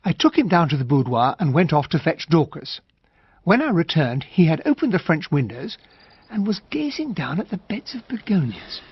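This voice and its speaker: An actor playing an earnest, puzzled English gentleman officer, the detective's companion, with a British accent and hesitant delivery in a radio drama. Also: fairly high pitch, big pitch swings, normal speed, breathy edge, no room sound, lo-fi sound, exaggerated voice.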